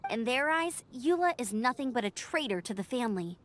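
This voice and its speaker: A woman's voice: on the high side, lively intonation, a little fast, a bit bright, a little hiss or hum. A woman playing a friendly, upbeat teenage knight scout in a video game, speaking in a bubbly, encouraging way. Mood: earnest, friendly. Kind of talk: scripted video game character acting.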